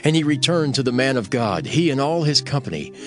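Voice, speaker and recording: A man's voice: mid-range voice, animated, brisk, over music. A man narrating scripture in a dramatized, scripted storytelling style.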